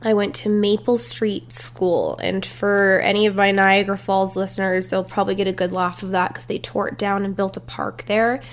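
A woman's voice: medium pitch, level tone, moderate pace, hardly any pauses. A young woman hosting her own podcast, chatting casually and telling stories from her childhood while her voice sounds congested and stuffy from a runny nose. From Canada. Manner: chatty, confiding, storytelling. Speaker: podcast host. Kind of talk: casual solo podcast chat.

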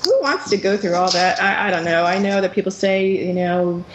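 A woman in her forties hosting a radio talk show, chatting casually and laughing often, her voice jumping up high when she jokes. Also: fairly quick, muffled recording, over a noisy crowd. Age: 40s. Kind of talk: casual chat. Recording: echoey room with faint noise.

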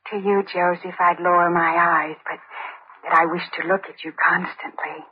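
A woman playing a shy, tender young woman in love, breathy, in an old radio drama. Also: fairly low pitch, little pitch movement, normal speed, a little hiss or hum, natural voice. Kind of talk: 1950s radio drama acting. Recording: muffled vintage radio recording.

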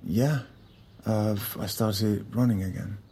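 An actor playing an earnest, frustrated husband, speaking in a strained, halting, naturalistic way in an audio drama. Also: male voice, fairly low pitch, steady tone, creaky, dark tone, slight background noise. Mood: guarded, matter-of-fact.

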